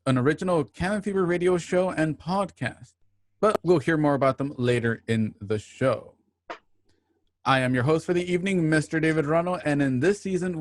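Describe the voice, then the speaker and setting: A man hosting an online radio drama, reading a scripted introduction with measured, announcer-like phrasing. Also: a little high, no echo, clean studio sound.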